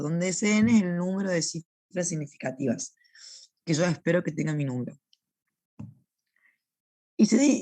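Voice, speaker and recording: A young woman with a hoarse voice from a recent sore throat, recording an online university class and patiently checking in with her students. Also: fairly low pitch, darkish tone, dry recording. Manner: patient, repeats points and checks in with "¿sí?". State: hoarse with a lingering cough. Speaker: university teaching assistant.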